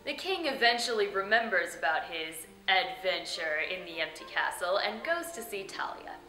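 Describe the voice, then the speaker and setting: Animated, bright-voiced woman hosting. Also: mid-range voice, moderate pace. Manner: theatrical, tongue-in-cheek. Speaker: host and storyteller. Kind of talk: scripted comic narration. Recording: roomy echo, faint music behind.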